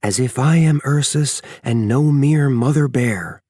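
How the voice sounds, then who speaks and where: A man's voice: mid-range voice, a little slow, dark tone, breathy edge, dry recording, voice pushed up. A man voicing an anxious, protective mother grizzly bear in an audiobook, with urgent, questioning delivery. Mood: surprised. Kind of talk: audiobook character voice.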